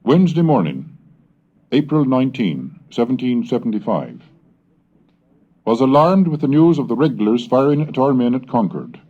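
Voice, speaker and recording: A man's voice: mid-range voice, sing-song, warm tone. Man reading an old diary off a dull cassette. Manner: measured, deliberate reading that slows on dates. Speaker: reader of historical texts. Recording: dull lo-fi 1970s cassette recording.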